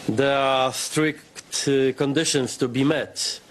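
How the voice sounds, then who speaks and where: A man delivering a formal press statement in a deliberate way. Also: mid-range voice, steady tone, a little hiss or hum. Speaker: official giving a statement.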